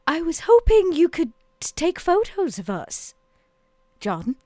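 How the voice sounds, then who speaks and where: A woman playing a tabletop roleplaying game, improvising dialogue and casual narration in a playful, animated way. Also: very high voice, a little slow, no echo.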